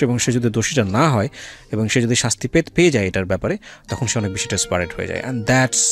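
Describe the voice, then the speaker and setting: Male interview host, conversational with English mixed in, music underneath. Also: on the low side. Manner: mixes English phrases into Bengali. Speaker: radio show host interviewing a guest. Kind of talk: conversational interviewing with asides to listeners.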